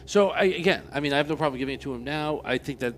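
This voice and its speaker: A man speaking in character at a tabletop game, earnest and measured, sometimes halting. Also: fairly high pitch, fairly bright tone, a little hiss or hum. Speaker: player.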